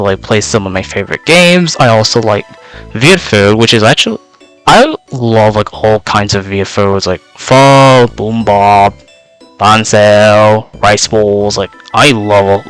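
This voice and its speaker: A man's voice: lowish voice, a bit bright, clear, resonant tone, low-quality recording. A young man, a native Vietnamese speaker, gives a casual in-character self-introduction as a VTuber. He rambles with many filler words, and his voice is slightly worn out.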